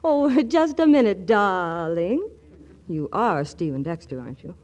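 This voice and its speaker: An actress playing a glamorous young model in a 1940s radio comedy, coquettish and teasing with frequent giggles. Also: female voice, fairly low pitch, big pitch swings, measured pace, darkish tone, highs cut off. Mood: coy teasing. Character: glamorous young female model, coy and playful. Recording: old radio broadcast recording.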